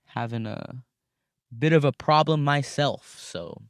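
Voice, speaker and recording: A young man hosting a casual solo podcast from inside his car, recorded on a phone, rambling and swearing freely in a thin voice without much bass. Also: slow, smooth, dry recording, studio quality. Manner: rambling, profane, laid-back with frequent fillers. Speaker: podcast host.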